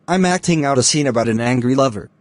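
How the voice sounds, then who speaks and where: A man playing a courteous, easygoing young film star in a scripted cartoon dialogue for English learners, speaking in a deliberate way. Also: mid-range voice, lively intonation, dry recording, close to an everyday voice. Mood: pleasant, courteous.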